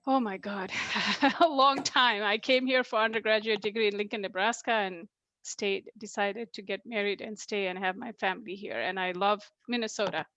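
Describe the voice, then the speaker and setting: A woman's voice: mid-range voice, normal speed, fairly bright tone, dry recording. A middle-aged woman from Nepal, introducing a speaker in a friendly way over a video call, laughing easily. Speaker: guest introducer.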